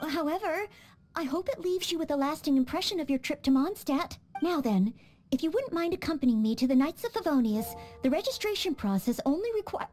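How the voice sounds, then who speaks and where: A woman's voice: sits high, animated, fairly quick, darkish tone, soft background music. A woman voicing a polite, earnest young maid knight in a game, courteous and eager to serve.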